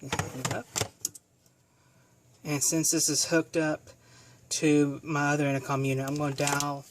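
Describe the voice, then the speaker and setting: Someone showing off gear in a casual demo, kinda halting, mid pitched voice, corrects themself as they go. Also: flat delivery. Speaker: video presenter demonstrating equipment. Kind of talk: casual hands-on product demonstration.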